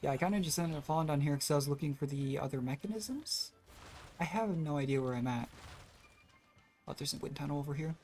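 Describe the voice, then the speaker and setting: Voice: mid-range voice, quick pace, a little mellow. A game streamer giving casual live commentary, thinking aloud in an offhand way. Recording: music behind the voice. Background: game music.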